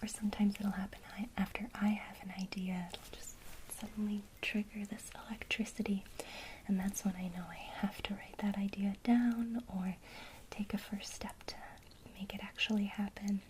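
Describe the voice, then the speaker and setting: A woman whispering an ASMR witchcraft lesson, gently encouraging a listener she addresses as her student. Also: mid-range voice, flat delivery, slight background noise, rough recording. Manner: speaks to the listener as a student, pausing for imagined replies.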